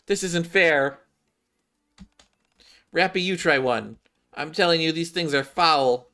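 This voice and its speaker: Man putting on a brash, bright-voiced villain girl. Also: a little high, takes it slowly, pitched above the performer's own voice, heavily acted. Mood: indignant. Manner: brash, indignant. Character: boastful, easily exasperated self-proclaimed young female villain. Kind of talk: game cutscene read-aloud.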